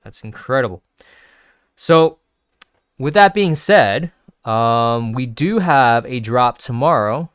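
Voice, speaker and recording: A man's voice: mid-range voice, lively intonation, slow pace, pauses often, a bit bright, smooth, dry recording, clean studio sound. A man hosting a casual video, thinking aloud with many fillers and restarts while sniffly from sneezing. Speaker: crypto video host. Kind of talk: casual screen-share commentary.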